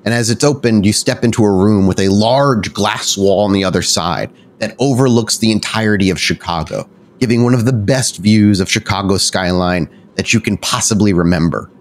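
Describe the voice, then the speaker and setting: A man's voice: fairly low pitch, normal speed. A man narrating a tabletop horror game as storyteller, describing scenes in detail.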